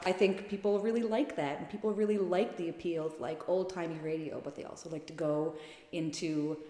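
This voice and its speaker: Female interviewee, lowish pitch, informal delivery, roomy distant recording. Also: fairly even intonation, fairly quick, mellow. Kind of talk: casual documentary interview. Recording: echoey, off-mic, music behind.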